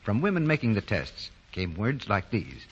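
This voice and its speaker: A man's voice: on the low side, wildly swooping pitch, normal speed, breathy edge, muffled recording. An American man reading scripted commercials and credits as a 1940s radio announcer. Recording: noisy, band-limited 1940 radio broadcast.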